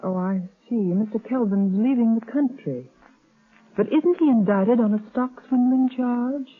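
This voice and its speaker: An earnest, questioning companion. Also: female voice, fairly high pitch, measured pace, breathy edge. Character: loyal, inquisitive young woman companion. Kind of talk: 1940s radio acting. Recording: tinny old radio broadcast.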